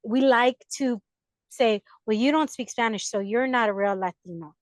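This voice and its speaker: A woman's voice: a little high, normal speed, no room sound, clean studio sound. A young woman hosting a podcast, chatting casually and animatedly, laughing often. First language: English. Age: young adult. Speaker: podcast host. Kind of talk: casual interview chat.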